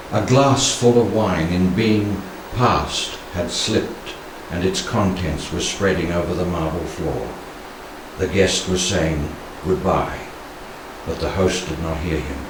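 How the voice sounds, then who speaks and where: A man in his sixties reciting poetry solemnly, with measured, dramatic phrasing and pauses, into a handheld microphone in a room. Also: deep voice. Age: 60s. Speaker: poetry reader. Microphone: handheld stage microphone. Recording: very echoey room, distant, faint noise.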